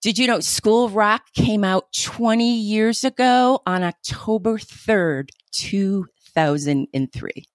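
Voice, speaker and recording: A woman's voice: medium pitch, slow pace, hardly any pauses, dry recording. A woman hosting a podcast, chatting casually with her co-host and laughing easily.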